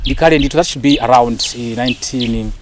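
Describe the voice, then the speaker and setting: A man's voice: mid-range voice, fast talker, a loud crowd. A Malawian man talking as an interview guest, telling stories in an emphatic, self-assured way with the odd hesitant "eh". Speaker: interview guest, actor and lecturer. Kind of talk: animated conversational storytelling in an interview.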